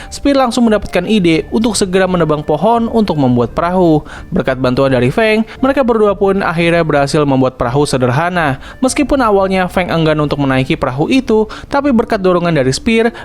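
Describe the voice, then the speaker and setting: A young man hosting a cartoon review channel, telling the plot in a casual, slangy scripted narration with English fillers. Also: on the high side.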